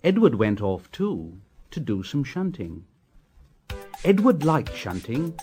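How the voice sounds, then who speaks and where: A male storyteller narrates a children's tale at an unhurried pace. His pitch moves around expressively, and music plays softly behind him. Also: big pitch swings.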